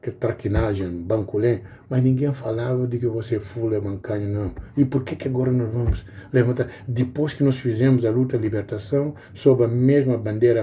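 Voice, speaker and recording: A man's voice: medium pitch, warm tone, slight background noise. A middle-aged man from Guinea-Bissau with a Guinea-Bissau Portuguese accent, giving an earnest, informal monologue to viewers and pleading with frequent hesitations. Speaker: video blogger.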